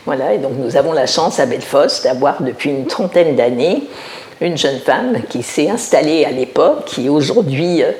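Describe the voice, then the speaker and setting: An older woman from Alsace, a village mayor, answering interview questions in a measured, explanatory way, often pausing to find her words. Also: deep voice, animated, darkish tone. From Alsace, France. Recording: roomy echo.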